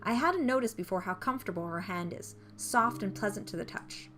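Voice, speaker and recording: A woman's voice: mid-range voice, lively intonation, a little fast, bright, forceful tone. A woman narrating a visual novel playthrough, reading the first-person story text aloud.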